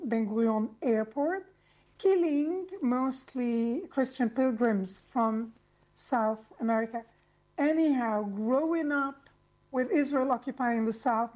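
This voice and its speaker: High-voiced, articulate woman from Lebanon. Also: darkish tone, low-quality recording. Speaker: interview guest, activist speaker. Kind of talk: personal account in an interview.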